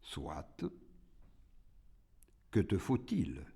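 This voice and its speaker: Man as a low, hushed, almighty God. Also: extremely slow, creaky, husky, exaggerated voice. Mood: calm, consenting. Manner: terse, calm, hushed consent and questions. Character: God, calm all-powerful male supreme being.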